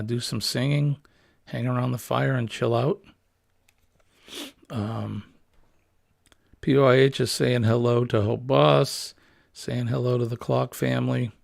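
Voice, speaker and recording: Man, livestream host; husky, smoky, a bit gravelly; easygoing and rambling with many fillers; dry, close computer-mic sound. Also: medium pitch, dry recording. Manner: relaxed, rambling, frequent ums and uhs. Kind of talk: casual livestream chat reading viewer comments. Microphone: built-in computer webcam mic.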